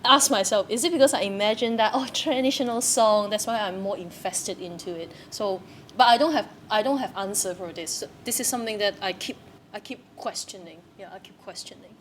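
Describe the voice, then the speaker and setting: A woman's voice: medium pitch, a little fast. A young woman, a theatre practitioner, talking in a searching, questioning way and often repeating herself. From Hong Kong. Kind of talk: reflective conversation. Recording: faint background noise.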